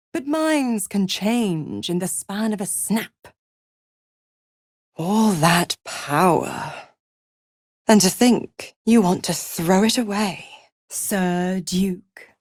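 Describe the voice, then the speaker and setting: A woman's voice: mid-range voice, no echo, clean studio sound. A woman playing a mocking, scheming female devil in a video game, theatrical, teasing and condescending. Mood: taunting, condescending. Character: seductive, mocking, scheming female devil, smugly cruel patron. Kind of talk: video game character acting.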